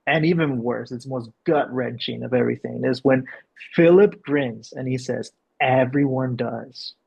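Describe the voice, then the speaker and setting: A man working as a director, explaining and reading out a script, with a gravelly, husky voice. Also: mid-range voice, unhurried. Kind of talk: explanatory coaching and script narration. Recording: dull, lo-fi sound.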